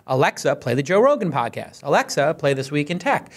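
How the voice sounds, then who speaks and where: A man in his forties hosting a podcast roundtable and reading ads, animated and punchy, talking into a studio boom mic. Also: fairly quick. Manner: animated, gestures broadly, punchy asides. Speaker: podcast host. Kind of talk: casual roundtable chat and ad read. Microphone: studio mic on boom arm.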